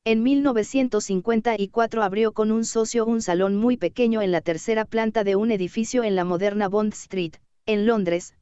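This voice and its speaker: A woman narrating an audiobook in a steady, even reading voice. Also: medium pitch, normal speed, hardly any pauses, clear, resonant tone, dry recording, muffled recording.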